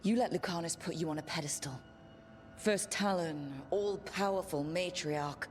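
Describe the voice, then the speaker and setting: A woman's voice: fairly low pitch, normal speed, soft background music, natural voice. A woman playing a steadfast, earnest, determined heroine in dramatic video game dialogue. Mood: firm, challenging.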